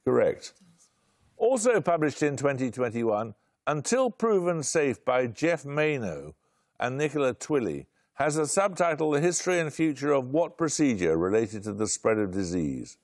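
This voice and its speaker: A man hosting a quiz show, reading out questions in a brisk, precise way with dry, wry humour. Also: medium pitch, sing-song, a little mellow, no echo.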